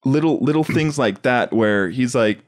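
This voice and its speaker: Man podcast host, casual and rambly, pitching sketch ideas and acting out bits. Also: moderate pace. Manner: rambling, acts out lines as he explains.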